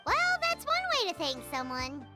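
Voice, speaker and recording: A woman voicing a small, chirpy companion creature in a video game, with a high, bouncy, squeaky voice. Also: huge pitch swings, normal speed, loud music behind the voice, exaggerated voice. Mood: wry. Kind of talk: game voice acting.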